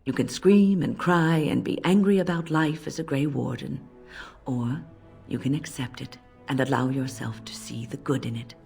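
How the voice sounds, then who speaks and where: Fantasy game mentor: older female mage, deep voice, measured, reflective, gentle but firm. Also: steady tone, gravelly, soft background music. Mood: firm counsel. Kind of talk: dramatic game dialogue.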